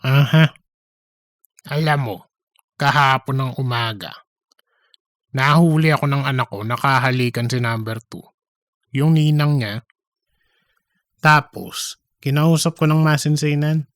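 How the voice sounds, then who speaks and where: A man acts out a boastful office womanizer who wants to be manager in a comedic audio story. His voice sits at a middling pitch, cocky and gossipy, and he keeps repeating his points. The sound is close and dry. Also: measured pace, long pauses, fairly bright tone, no room sound. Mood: smug, confiding. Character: boastful philandering male office worker bidding to be manager.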